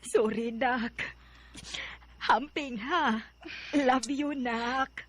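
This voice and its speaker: A woman's voice: a little high, steady tone, very slow, slightly rough, exaggerated voice. An actress playing a caring, sentimental mother in a radio drama, speaking tenderly and coaxingly with a Filipino accent. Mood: tender, sad. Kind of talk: Philippine radio drama acting.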